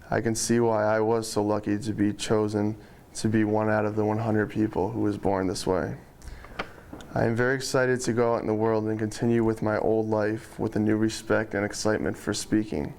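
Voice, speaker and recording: Young man, low gravelly voice, slow careful delivery of a prepared speech to a small room. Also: level tone, darkish tone. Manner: slow, deliberate controlled speech. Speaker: speaker giving a graduation speech. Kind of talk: prepared speech read to an audience. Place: room with a small audience of friends and family.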